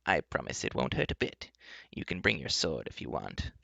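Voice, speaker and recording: Man voicing a low, soft, kindly menacing enchantress. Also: wildly swooping pitch, moderate pace, vocal fry, dry recording, highs cut off, exaggerated voice. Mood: cheerfully coaxing. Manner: kindly, coaxing, matter-of-fact about threats. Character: plump, cheerfully menacing old enchantress, indulgent and dotty. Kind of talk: storybook character reading.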